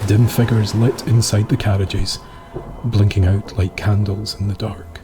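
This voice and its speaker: A Scottish man reading a scripted dark tale as a storyteller, with solemn, deliberate phrasing. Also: on the low side, steady tone, noisy background.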